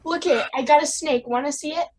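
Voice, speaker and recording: A woman's voice: sits high, brisk, darkish tone, natural voice. A woman voicing a bratty, defiant little boy in a radio play, whiny and demanding. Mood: gleeful menace.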